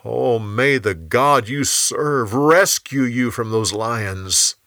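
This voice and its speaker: A man's voice: mid-range voice, takes it slowly, fairly bright tone, no echo, studio quality. A man voicing a commanding yet earnest Persian king in a Bible story, with emphatic, proclaiming delivery. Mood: anguished.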